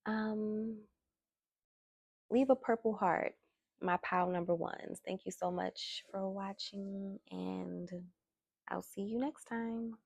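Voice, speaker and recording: Woman tarot reader, soft and low, slow gravelly delivery, dry close sound. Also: fairly even intonation, no echo. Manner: soft-spoken, slow and musing. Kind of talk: soft-spoken tarot reading. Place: indoors at a table.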